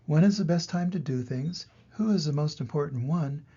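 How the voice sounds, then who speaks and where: A man's voice: a little high, normal speed, dark tone, slightly rough, highs cut off. An older man voicing an earnest, curious young boy in a storybook, asking in a questioning manner.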